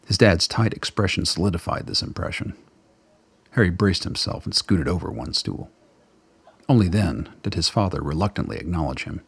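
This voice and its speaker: Male drama narrator, extremely deep and raspy, dry close recording. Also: lively intonation, moderate pace, no echo. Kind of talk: scripted radio play narration.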